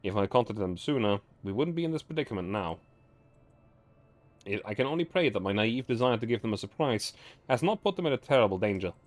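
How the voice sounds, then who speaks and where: Guy streaming a game, reading the story out loud, kinda raspy, pitch moves around a lot, trips on words, music playing. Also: animated. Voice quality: slightly raspy. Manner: halting, often stumbles and corrects words while reading. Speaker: livestream host. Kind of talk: live read-aloud of game story, with casual asides.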